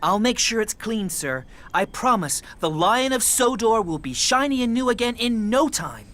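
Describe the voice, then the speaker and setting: An eager young boy steam engine from a children's cartoon. The delivery is very lively and swooping, polite and earnest, with an excitable edge. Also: on the high side, normal speed. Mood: apologetic, earnest. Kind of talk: children's cartoon character acting.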